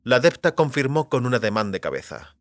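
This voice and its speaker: A man's voice: medium pitch, sing-song, fairly quick, no room sound. A man narrating a fantasy audiobook in a scripted literary style.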